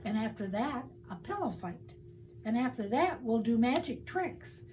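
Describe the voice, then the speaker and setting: An older woman voicing an eager, excited young boy in a storybook, speaking with emphasis. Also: a little high, a little slow, slight background noise, low-quality recording. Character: eager young boy full of big sleepover plans.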